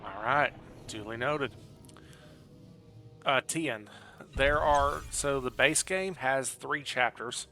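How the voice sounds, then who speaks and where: Bright-voiced man chatting while gaming. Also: mid-range voice, slow pace, soft background music. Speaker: game streamer. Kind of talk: casual live gameplay commentary and chat.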